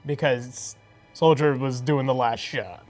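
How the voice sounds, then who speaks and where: Mid-pitched tabletop game player. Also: fairly even intonation, takes it slowly, a little mellow, faint music behind. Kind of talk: casual game chat.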